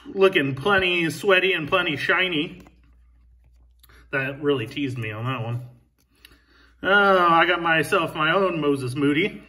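Bright-voiced man with dry jokes. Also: a little high, a little slow, long pauses, recorded from a distance. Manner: dry, self-mocking joking. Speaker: co-host opening card packs. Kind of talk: casual card-opening chat. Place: indoor room at a table.

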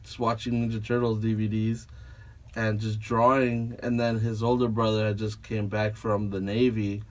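A man's voice: on the low side, little pitch movement, moderate pace, a little mellow, far from the mic. A man from Peru, tired after a late night, telling stories casually in a small podcast studio, rambling with lots of likes and fillers. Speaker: guest tattoo artist. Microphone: studio mic on a boom arm, headphones on. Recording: voice a little off-mic.

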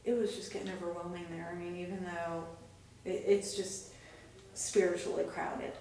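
A woman's voice: lowish voice, level tone, moderate pace, darkish tone. A woman chats casually on a ghost investigation. She is a little far from the camcorder, in a very echoey room with faint noise. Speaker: paranormal investigator. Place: indoor room, away from the camera. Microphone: camcorder some distance away.

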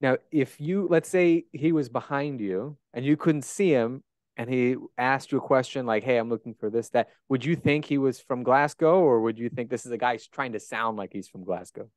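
Chatty American host, Great Plains accent. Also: male voice, medium pitch, normal speed, darkish tone, no room sound. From United States. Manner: curious, chatty, many restarts. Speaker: podcast host. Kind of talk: casual interview chat. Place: bright room at home. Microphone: handheld dynamic mic close up.

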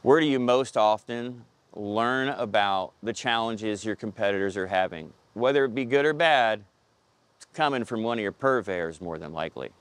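A man's voice: fairly low pitch, moderate pace, smooth, no echo. Middle-aged man giving plain-spoken advice. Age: 50s. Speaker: pest control company representative. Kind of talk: scripted advice to camera. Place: outdoors in a parking lot beside a pickup truck. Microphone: clip-on lapel mic.

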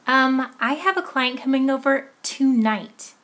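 Dismissive, no-nonsense mother, voiced by a woman, high-pitched, homemade stop-motion drama. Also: animated, measured pace, a bit bright. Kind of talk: amateur doll stop-motion voice acting.

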